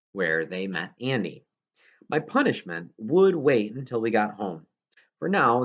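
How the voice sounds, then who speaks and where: A man's voice: on the low side, highs cut off. A man reading a children's novel aloud as scripted narration, now and then stumbling over a word. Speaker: audiobook reader.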